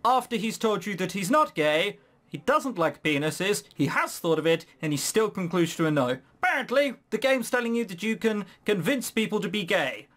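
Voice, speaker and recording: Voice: medium pitch, very animated, moderate pace, fairly bright tone. A let's play host giving casual, sweary, incredulous commentary while reading through a game. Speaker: gaming video host.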